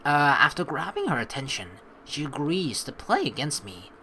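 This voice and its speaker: Bright, very animated let's play host. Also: mid-range voice, normal speed, slight background noise. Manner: rambling, reactive, excitable. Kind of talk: game playthrough commentary with dramatic read-aloud. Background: game music.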